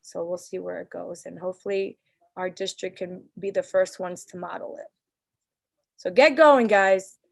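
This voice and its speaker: A woman's voice: on the low side, moderate pace, vocal fry, no echo. A woman from New City, leading a parent council meeting over a video call in a casual, earnest way with frequent restarts. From New York City. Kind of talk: virtual community meeting talk.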